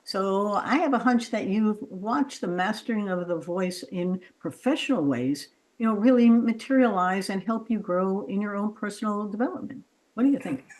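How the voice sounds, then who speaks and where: A woman's voice: mid-range voice, moderate pace, a little mellow, low-quality recording. A woman podcast host and coach who explains her ideas thoughtfully and draws out her guest. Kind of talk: interview conversation.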